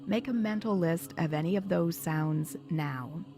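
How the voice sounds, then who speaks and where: A woman hypnotherapist leading a guided meditation in a soothing, measured, instructional manner. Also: lowish voice. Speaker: hypnotherapist guiding a session. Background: background music.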